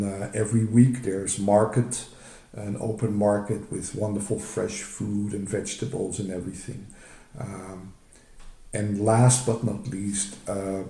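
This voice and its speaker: An older man with a Dutch accent talks through his photos of home on a video call. His voice is low and very dark, and he speaks haltingly, full of fillers. The room around him sounds echoey. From Netherlands. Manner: halting, many fillers and restarts, fond. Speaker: retired emergency physician presenting travel photos. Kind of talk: slide presentation on a video call.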